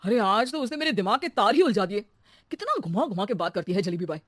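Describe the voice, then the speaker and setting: A man's voice: high voice, expressive, fast talker, a bit bright, no echo, studio quality. A man voicing a fed-up husband in a comedy cartoon, complaining and pleading in exasperation. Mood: fed up, dramatic. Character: exasperated middle-class husband, employer of a maid. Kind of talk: comic Hindi cartoon acting.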